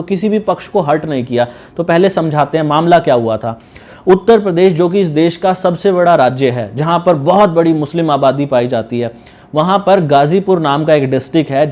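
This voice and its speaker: A man speaks in a slightly high voice. He explains legal matters informally, like an advocate addressing viewers. His manner is didactic, and he often rephrases his key points. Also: a little mellow.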